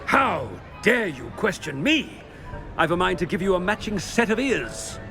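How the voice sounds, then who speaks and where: An actor playing a pompous, irritable British headmaster in a video game, blustering and haughty. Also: male voice, high voice, sing-song, unhurried, vocal fry, music in the background, heavily acted. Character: pompous, irritable male headmaster, flustered and imperious. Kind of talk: video game character acting.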